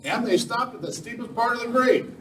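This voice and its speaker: Echoey distant recording, man acting a worried cavalry officer, high voice, radio western drama. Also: a little fast, a bit bright. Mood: puzzled, concerned. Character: anxious, despairing male cavalry lieutenant. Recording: distant mic, echoey hall, faint noise.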